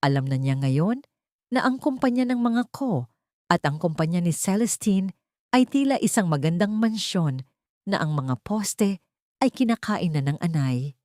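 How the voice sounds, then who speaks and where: A woman's voice: lowish voice, lively intonation, measured pace, a little mellow, no echo, studio quality. A woman with a Filipino accent narrating a scripted drama story in a solemn, theatrical storytelling manner.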